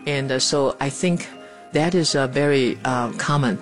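A woman, speaking plainly and earnestly in an interview, with pauses and fillers. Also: extremely low pitch. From Taiwan. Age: 70s. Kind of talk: documentary interview. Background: music.

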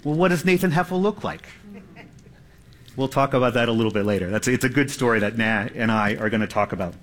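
A man's voice: mid-range voice, a bit bright. A man giving a prepared speech to an audience in a reflective, earnest storytelling manner. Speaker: speaker.

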